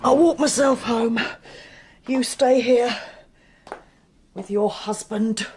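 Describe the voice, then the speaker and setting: An actress playing a middle-aged widowed mother in a radio drama, husky, sharp, suspicious and grieving. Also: on the high side, slow pace, a little hiss or hum. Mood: bitter, suspicious.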